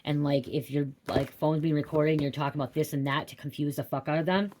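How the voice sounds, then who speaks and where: A woman's voice: deep voice, steady tone, fast, a little mellow, a little hiss or hum. Rambling, profane woman tarot reader. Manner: rambling, swears often, keeps asking "okay?". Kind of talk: rambling casual livestream reading.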